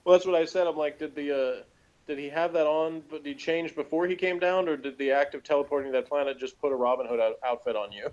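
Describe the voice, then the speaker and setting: A man's voice: on the high side, steady tone, quick pace, darkish tone, dull audio. A man in a casual review chat, talking in a rambling, hesitant and wry way.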